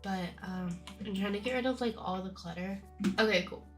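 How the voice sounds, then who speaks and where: A young woman streaming a game with casual, chatty commentary, her nose stuffy from a cold and her talk breaking into bubbly bursts of excitement. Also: mid-range voice, moderate pace, faint music behind.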